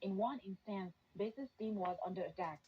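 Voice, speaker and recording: A woman's voice: medium pitch, normal speed. Woman reading news over dull, noisy shortwave. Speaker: news presenter. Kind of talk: scripted news narration.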